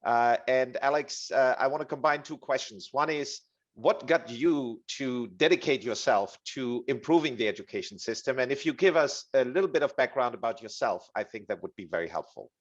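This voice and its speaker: A man's voice: mid-range voice, animated, moderate pace, lo-fi sound. A man moderates a panel discussion, graciously introducing guests with frequent hesitations.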